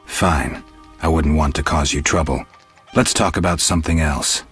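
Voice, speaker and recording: An extremely deep-voiced, gruff monster hunter from a fantasy video game. He is stoic and dry, keeping his words short and deadpan, with some music behind him. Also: normal speed, exaggerated voice. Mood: calm, probing. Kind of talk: video game dialogue acting.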